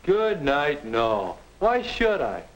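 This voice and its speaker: A young man with an American accent playing a sullen, defensive high school boy with no aim, grumbling in a 1950 instructional film. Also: huge pitch swings, slow, heavily acted. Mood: sullen, dismissive. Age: young adult. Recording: noisy, clicking 1950 film soundtrack.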